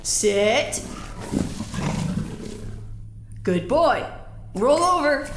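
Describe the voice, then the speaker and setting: An actress playing a casual, upbeat field agent who nudges and encourages in informal, naturalistic audio drama dialogue. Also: female voice, fairly high pitch, background noise. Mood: playful, cheerful. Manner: informal, coaxing, animated. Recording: roomy echo, music behind.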